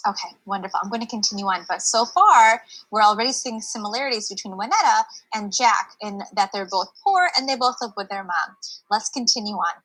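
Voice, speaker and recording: A woman's voice: mid-range voice, expressive, brisk, fairly bright tone, poor audio. A woman teacher leading a story discussion for children online, encouraging and explaining simply.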